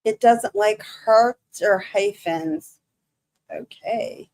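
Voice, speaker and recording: A woman with a Michigan accent hosting a casual livestream, chatting to viewers in a halting, nervous way full of restarts and fillers. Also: fairly high pitch, animated, creaky, a little mellow. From Michigan, USA. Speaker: livestream host.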